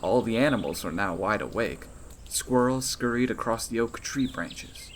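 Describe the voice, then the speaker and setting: A man's voice: on the low side, expressive, moderate pace, slight background noise. A man reading his own fantasy novel aloud as scripted audiobook narration.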